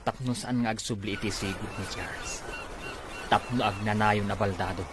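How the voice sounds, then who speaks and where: A man's voice: on the low side, moderate pace, lightly acted. A man playing a scheming, greedy cousin in a radio drama, gloating and theatrical. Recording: loud background noise.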